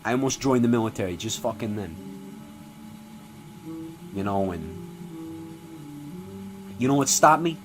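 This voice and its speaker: A man playing a crude, foul-mouthed old Italian-American mobster who belittles people and rambles with sudden loud outbursts. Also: fairly low pitch, animated, unhurried, pauses often, voice pushed down. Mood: brooding. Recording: music playing clearly behind.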